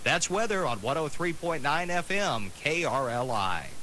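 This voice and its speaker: A man's voice: fairly high pitch, big pitch swings, moderate pace, heavy background noise. A man reading a scripted weather forecast as a radio announcer.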